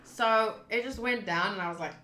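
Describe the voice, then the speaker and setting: Bright, expressive woman streamer in echoey room. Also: mid-range voice, moderate pace. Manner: expressive, sweary, reacts out loud. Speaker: streamer playing a visual novel. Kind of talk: casual playthrough commentary mixed with reading text aloud. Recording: roomy echo.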